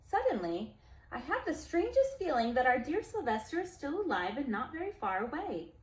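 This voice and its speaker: A woman's voice: high voice, very animated, moderate pace, roomy sound, low-quality recording. Woman reading as a wistful donkey mother. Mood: hopeful. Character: tender, wistful donkey mother missing her son.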